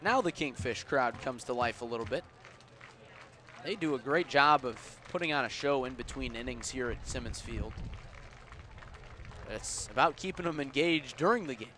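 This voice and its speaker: A man calling baseball live on the radio, measured between pitches and punchier on plays, as an announcer does. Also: lively intonation, bright, forceful tone. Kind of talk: live radio sports commentary. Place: outdoor baseball ballpark. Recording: outdoor ballpark ambient noise.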